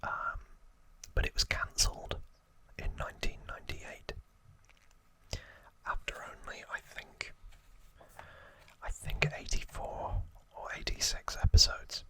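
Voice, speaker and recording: A man hosting ASMR, whispering informal trivia narration in a hushed, rambling way with casual asides. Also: slow, long pauses, rough recording. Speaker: ASMR host.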